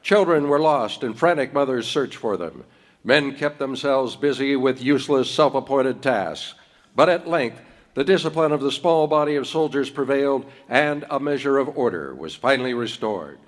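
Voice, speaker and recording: A man's voice: mid-range voice, lively intonation, normal speed. A man narrating in scripted 1930s radio style, with formal, dramatic storytelling delivery.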